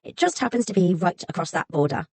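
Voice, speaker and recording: Woman doing a cunning storybook fox, coaxing, haughty, husky, lively. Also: lowish voice, fast, exaggerated voice. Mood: sweet sly. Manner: coaxing and sweet, later stern and haughty. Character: sly, cunning fox spirit, sweet then haughty.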